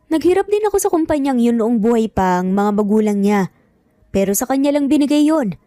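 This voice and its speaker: A woman's voice: a little high, warm tone, no echo, clean studio sound, lightly acted. A woman voicing a gloating, vengeful middle-aged male villain in an audio story, taunting and menacing.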